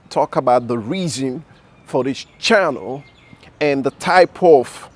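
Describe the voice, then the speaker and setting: A Nigerian man in his thirties, vlogging as he walks down a city street and talks earnestly to his camera, repeating phrases for emphasis. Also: mid-range voice. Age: 30s. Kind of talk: walking personal vlog to camera. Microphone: handheld self-filming camera.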